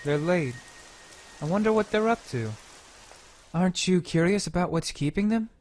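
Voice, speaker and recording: A woman's voice: lowish voice, expressive, normal speed, a little mellow, slight background noise, natural voice. A woman playing an easygoing, mildly resigned teenage student in an anime dub. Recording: music behind the voice.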